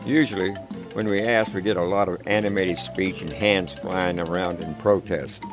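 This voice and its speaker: A man reading a first-person war story in a calm, measured, plain-spoken way. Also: low-pitched. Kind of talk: scripted first-person narration. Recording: background music.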